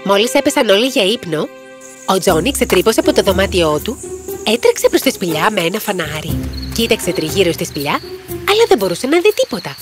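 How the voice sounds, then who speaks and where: A woman's voice: mid-range voice, very animated, music in the background. A woman narrator reading a scripted children's fairy tale.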